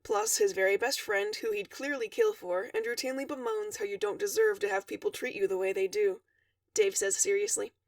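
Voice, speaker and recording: A woman giving an expressive, animated read-aloud of a story, acting out the dialogue. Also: mid-range voice, a little fast, gravelly, no echo, recorded from a distance. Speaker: fan fiction reader.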